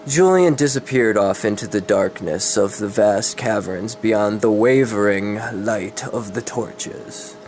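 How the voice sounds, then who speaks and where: A man's voice: lowish voice, slow, few pauses, darkish tone, soft background music, low-quality recording. A man giving a dramatic reading of fantasy horror fiction, stumbling over words and correcting himself. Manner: stumbling, self-correcting delivery. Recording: clear background noise.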